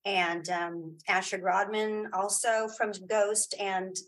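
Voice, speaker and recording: A woman's voice: medium pitch, little pitch movement, takes it slowly, fairly bright tone. A woman speaking as a guest in a casual interview, chatty and informal, often restarting her sentences. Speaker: interview guest, audio drama creator.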